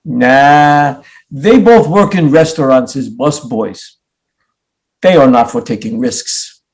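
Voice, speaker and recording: A man's voice: mid-range voice, takes it slowly, poor audio. A man in a play reading, playing a naive, hopeful, stubbornly proud immigrant who speaks in simple, formal, non-native phrases. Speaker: stage actor.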